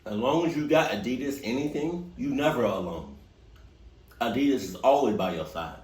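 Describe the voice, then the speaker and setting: A man's voice: mid-range voice, normal speed, roomy sound, low-quality recording. A young man hosting a reaction video, making teasing, joking remarks to his viewers. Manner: joking, teasing, animated asides. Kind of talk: casual reaction commentary.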